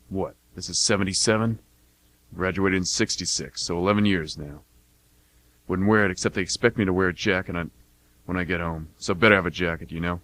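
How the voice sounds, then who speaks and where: A man's voice: low-pitched, a bit bright, dry recording. A man acting a weary, self-deprecating mercenary in his late twenties in a radio play, talking in a folksy, hesitant mutter.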